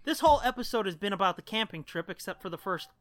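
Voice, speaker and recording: Irreverent man with a bright, close voice. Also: high voice, animated, fast, dry recording. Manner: irreverent, foul-mouthed, dark joking. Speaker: podcast co-host. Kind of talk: casual chat.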